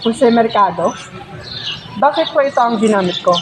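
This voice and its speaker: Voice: mid-range voice, lively intonation, normal speed, natural voice. A performer playing a polite, dutiful young traveller in an amateur film drama. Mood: polite, questioning. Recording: noisy outdoors with birds.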